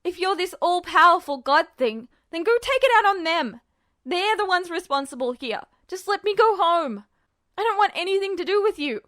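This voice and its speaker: A woman acts out a desperate, defiant young man in a fantasy audio story. The voice is high and strained with fear, breaking into stammers and loud protest. The recording is close and dry. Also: expressive, moderate pace, dry recording. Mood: angry, desperate. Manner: gasping, stammering, shouting. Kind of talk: audio fiction reading.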